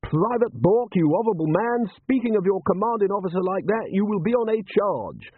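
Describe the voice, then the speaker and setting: A man's voice: high-pitched, lively intonation, a little fast, breathy edge, no room sound, voice pushed up. A man voicing a bullying army sergeant with clipped, commanding orders in an audiobook. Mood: stern, barking. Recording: thin, band-limited, phone-like sound.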